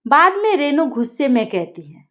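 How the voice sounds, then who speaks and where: A woman narrating a scripted story as a storyteller, in an expressive and deliberate manner. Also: sits high.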